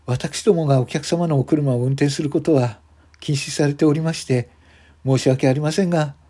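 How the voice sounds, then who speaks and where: A man's voice: a little high, fairly even intonation, moderate pace, dark tone, husky, pitched above the performer's own voice. A man voicing a timid, polite hotel parking attendant who speaks hesitantly and apologetically. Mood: apologetic.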